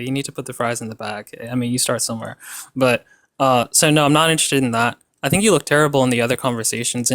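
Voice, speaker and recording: A man's voice: mid-range voice, fairly bright tone, no room sound, studio quality. A man in an online debate, mocking and casual, trash-talking with lots of fillers and slang.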